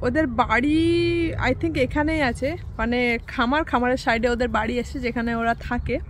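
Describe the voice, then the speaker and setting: A woman's voice: a little high, unhurried, slight background noise. A woman from India, narrating a casual vlog in a chatty, rambling way with hesitant fillers. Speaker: vlogger.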